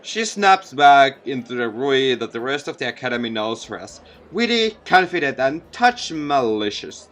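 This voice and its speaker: A man's voice: medium pitch, very animated, normal speed, few pauses, a bit bright. A man reading a visual novel aloud as a let's play, sometimes stumbling over lines and correcting himself. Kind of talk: let's play read-aloud narration with asides.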